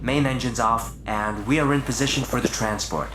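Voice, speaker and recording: A man's voice: medium pitch, moderate pace, close to an everyday voice. A man playing a precise, literal-minded spaceship crewmate, speaking matter-of-factly in a scripted audio drama. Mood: procedural. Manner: matter-of-fact, exacting. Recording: roomy echo, faint background noise.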